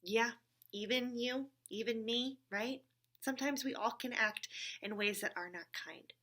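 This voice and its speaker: A woman's voice: on the high side, a little slow, pushed and bright. A woman hosting a church story time for children, speaking encouragingly and simply, with frequent check-in questions, as if chatting with a puppet friend. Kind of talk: talking to a puppet companion for young children.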